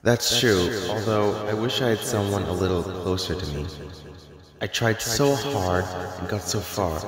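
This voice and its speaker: A man voicing a brooding, lovelorn immortal in an animated fantasy, with an earnest, melodramatic delivery. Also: fairly low pitch, a little slow, a loud crowd, natural voice. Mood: wistful. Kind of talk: dramatic animated fantasy dialogue.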